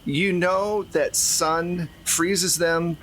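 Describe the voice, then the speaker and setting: A man's voice: a little high, steady tone, drawn-out words, a bit bright, a little hiss or hum, poor audio. A man running a tabletop roleplaying game as game master, narrating and explaining in a conversational way.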